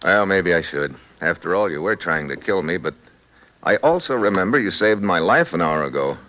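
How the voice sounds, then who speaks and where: A man's voice: deep voice, animated, normal speed, sharp tone, dull audio. An American man playing a tough, wisecracking secret agent in 1950s radio acting, with a wry, hard-boiled manner. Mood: calm, wry. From United States. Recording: old radio broadcast with music behind.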